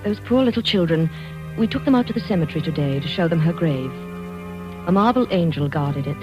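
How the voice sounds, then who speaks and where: An actress playing a genteel, soothing, gently probing family friend in an old-time radio drama, speaking earnestly and coaxingly. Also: female voice, deep voice, expressive, brisk. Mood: troubled reflection. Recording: dull old radio recording, faint music.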